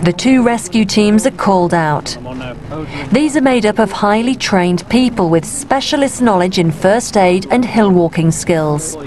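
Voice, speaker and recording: A British woman reads scripted documentary narration at a middle pitch, calm and even. Clear background noise runs under her voice. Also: measured pace.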